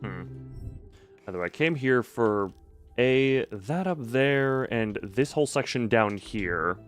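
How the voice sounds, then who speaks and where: A man's voice: mid-range voice, animated, takes it slowly, faint music behind. A man giving casual live gameplay commentary as a streamer, musing and hesitating as he thinks aloud. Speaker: game streamer.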